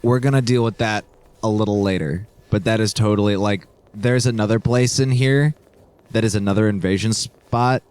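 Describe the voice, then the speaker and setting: Man, low voice, casual gaming chat, sweary, let's play co-host. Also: fairly even intonation, moderate pace, a little mellow, clear, resonant tone. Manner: profane. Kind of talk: casual chat while playing a game.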